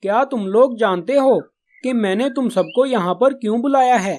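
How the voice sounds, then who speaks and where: A man's voice: very high voice, moderate pace, a little mellow. Children's cartoon pigeon , male actor: responsible elder brother of the flock, earnest, caring, explanatory. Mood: earnest, firm. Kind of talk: Hindi children's cartoon dialogue.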